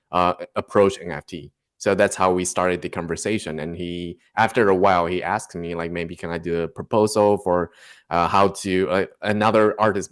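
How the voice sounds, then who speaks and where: A man from Taiwan with a Taiwanese accent, speaking haltingly and searching for words as a guest artist in a casual interview. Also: deep voice.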